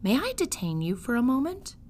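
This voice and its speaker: Woman voicing a suave, scheming storybook rat, swooping pitch. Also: big pitch swings, brisk, a little mellow, slight background noise. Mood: smooth, courteous. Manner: formal, flowery, polite phrasing. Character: suave, scheming male rat with courtly flattering manners.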